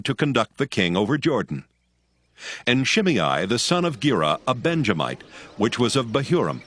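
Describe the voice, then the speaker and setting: A man narrating dramatized scripture in a measured, storytelling manner. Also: mid-range voice, a bit bright. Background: music.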